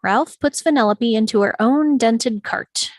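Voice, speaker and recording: A woman's voice: fairly high pitch, a little slow, dry recording. Woman narrating action, dry close sound. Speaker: stage directions narrator. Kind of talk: scripted narration at a table read.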